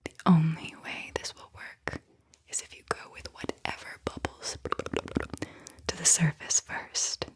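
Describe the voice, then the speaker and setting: A woman whispering a guided visualization in an ASMR style, with a breathy, airy voice and a soothing, deliberate delivery. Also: low-pitched, completely flat delivery. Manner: slow, soothing, deliberate delivery with repeated phrases. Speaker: host, guided visualization narrator.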